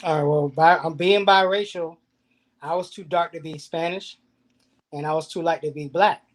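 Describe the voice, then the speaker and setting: A man's voice: fairly high pitch, steady tone, normal speed. A man as a guest in a casual discussion, speaking earnestly and restarting some phrases.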